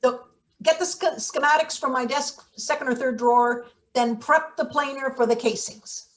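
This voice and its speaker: A woman's voice: high-pitched, steady tone, normal speed, natural voice. A woman acting a brusque, scheming, cynical maintenance boss in a read-through of a satirical stage play. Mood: curt, secretive. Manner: bossy, clipped, sardonic.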